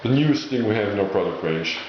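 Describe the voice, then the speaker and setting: Guy with a lowish voice explaining a product, searches for words, super echoey room, muffled sound. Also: animated, fast talker. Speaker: product presenter explaining label machinery. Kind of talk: informal product demonstration. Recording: echoey dull room recording, faint noise.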